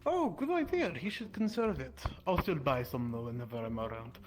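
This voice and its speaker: A man's voice: a little high, sing-song, moderate pace. A man playing an easygoing, chatty tavern regular in improvised fantasy roleplay.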